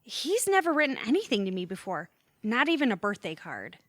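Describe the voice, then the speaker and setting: A woman's voice: fairly high pitch, sing-song, brisk, fairly bright tone, no room sound, studio quality. A woman playing a hurt, wary adult daughter in an audio drama, earnest and emotional. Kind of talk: audio theatre acting.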